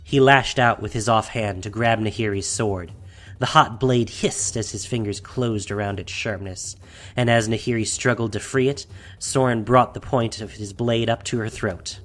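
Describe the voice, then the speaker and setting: A man's voice: on the low side, fairly even intonation, normal speed, a bit bright. A man reading scripted fantasy fiction as the narrator of an audio drama, in a dramatic storytelling style. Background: faint music.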